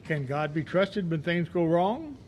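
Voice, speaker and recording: A man playing an upbeat herald who reads a promotional announcement in a brisk style. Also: fairly high pitch, lively intonation, darkish tone, slight background noise. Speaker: announcer in an audio play.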